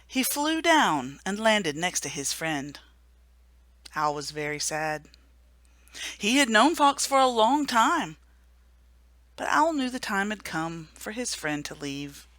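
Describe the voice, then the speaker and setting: A woman reading a children's storybook aloud for a remembrance service, gentle and hushed at the sad moments. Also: medium pitch, sing-song, slow, long pauses, dry recording. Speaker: story reader.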